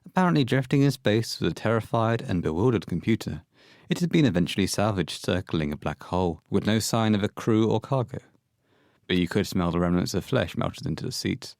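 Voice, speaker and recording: A man's voice: fairly low pitch, animated, fairly quick, gravelly, a little mellow, no room sound, studio quality. A man reading scripted narration as the storyteller of an audio horror play.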